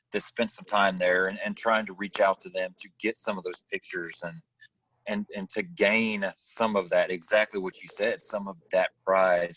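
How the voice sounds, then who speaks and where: A man hosting a podcast in casual conversation, halting and repeating words for emphasis, recorded on a distant, dull microphone. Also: deep voice, fairly bright tone. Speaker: podcast host, firefighter.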